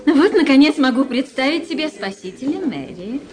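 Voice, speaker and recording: Effusive society hostess. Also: female voice, sits high, sing-song, moderate pace, faint music behind. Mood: effusive, welcoming. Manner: effusive, hospitable. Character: gracious, fussing society princess, mother and hostess. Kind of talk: Russian literary radio drama acting.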